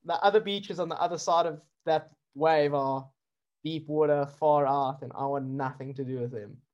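A man's voice: on the high side, moderate pace, lo-fi sound. A man from South Africa, a surfer who films with drones, chatting casually in an interview with lots of fillers. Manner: relaxed, chatty, many fillers and laughs.

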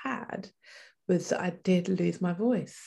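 A woman in her forties shares a personal story in conversation. Her voice is quite low and often creaky. She speaks reflectively, stopping and restarting as she gathers her thoughts. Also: unhurried, dark tone. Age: 40s. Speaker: interview guest.

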